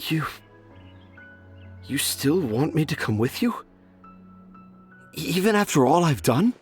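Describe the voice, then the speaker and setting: A man voicing a gentle, remorseful middle-aged father in a game, hesitant and apologetic. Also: a little high, big pitch swings, unhurried, breathy edge, faint music behind, heavily acted. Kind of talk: game voice acting.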